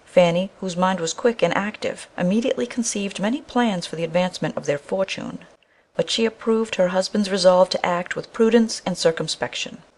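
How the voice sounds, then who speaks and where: A woman's voice: medium pitch, fairly quick, darkish tone. A woman narrating a scripted fairy tale as a storyteller.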